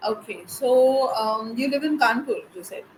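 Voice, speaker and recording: A woman's voice: fairly high pitch, a little slow, a little hiss or hum, low-quality recording. A young woman, a tutor asking friendly, curious questions. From India. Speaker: English tutor. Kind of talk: casual online conversation.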